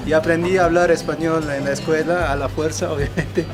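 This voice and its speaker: A man's voice: on the high side, fairly even intonation, a little fast. A man with a Peruvian Andean accent, a native Quechua speaker, explaining things casually as a local guide outdoors. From Peru. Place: outdoors in a valley town. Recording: music laid over the voice.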